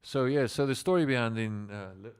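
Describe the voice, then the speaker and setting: A man's voice: mid-range voice, a little fast, clear, resonant tone, dry recording, clean studio sound. A South African man, native Afrikaans speaker, a musician telling stories on a casual livestream in a rambling way with lots of fillers. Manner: rambling, with many fillers and restarts.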